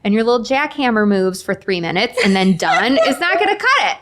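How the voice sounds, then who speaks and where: A woman's voice: a little high, sing-song, brisk, noisy background. A woman in her forties speaking as a guest author in a casual podcast interview, candid and blunt, often voicing little imagined quotes. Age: 40s. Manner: candid, blunt, peppers talk with "like" and acted-out quotes.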